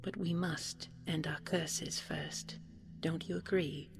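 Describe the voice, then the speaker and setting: A woman voicing a gracious, loving fairy-tale princess, softly and gently. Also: low-pitched, fairly even intonation, slow pace, gravelly, music in the background, exaggerated voice. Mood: loving, gentle.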